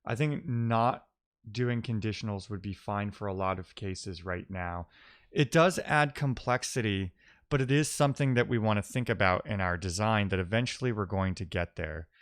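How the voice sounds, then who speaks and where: Male tech streamer, smooth low voice, casual and explanatory, thinks out loud. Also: normal speed, dry recording, studio quality. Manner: musing, explanatory, poses questions to himself. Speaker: live-coding streamer. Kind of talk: casual technical talk, thinking aloud.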